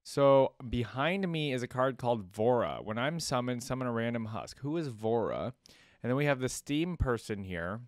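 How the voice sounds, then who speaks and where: A man's voice: mid-range voice, animated, moderate pace, no room sound, studio quality. A man in his twenties hosting a casual reaction video with off-the-cuff commentary. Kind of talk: casual reaction commentary, sometimes reading cards aloud.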